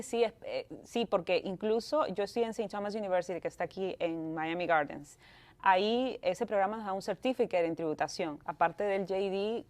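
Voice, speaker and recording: A woman's voice: lowish voice, darkish tone. A woman from Peru speaks with a Peruvian Spanish accent, a lawyer in her thirties who is a guest on an interview show. She talks in a chatty, easy way and now and then slips English words into her Spanish. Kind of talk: interview answers.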